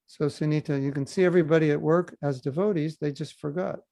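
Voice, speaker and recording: Gravelly, mellow man joking over a call. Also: fairly high pitch, steady tone, fast. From United States. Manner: humorous, teasing, easygoing. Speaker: spiritual teacher. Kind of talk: relaxed joking lecture Q&A over video call.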